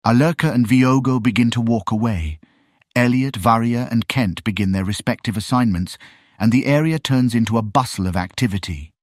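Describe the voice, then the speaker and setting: A man's voice: medium pitch, moderate pace, no room sound, studio quality. A man narrating scene directions for an audio drama in a scripted style.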